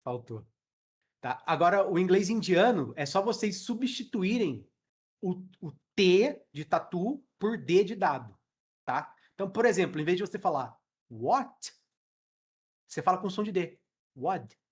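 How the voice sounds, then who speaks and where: A man's voice: high voice, sing-song, measured pace. A Brazilian man teaching English in a casual live online class, chatty and joking with slang, speaking into a headset. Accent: Brazilian Portuguese.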